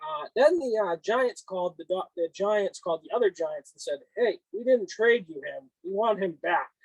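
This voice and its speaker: A man with a Boston accent speaks in a notably high register. The sound is very dry, with little room in it. He chats casually about sports, like a show co-host. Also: expressive, normal speed, creaky, dry recording, rough recording. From Boston, northeastern US. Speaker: co-host of a cap and card show.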